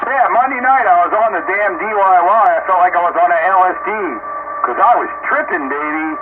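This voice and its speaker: A man hosts a casual radio chat in a slightly high voice. His manner is loose and jokey. The signal is thin and narrow, with clear static over it. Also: a little fast. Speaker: ham radio net host. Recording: noisy, narrow-band two-way radio transmission.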